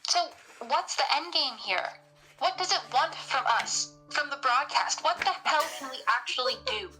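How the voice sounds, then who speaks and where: A woman's voice: sits high, expressive, normal speed, sharp tone, faint music behind, poor audio. A woman playing a blunt, suspicious researcher in an audio drama, terse and challenging. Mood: worried. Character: blunt, suspicious female researcher named Astrid.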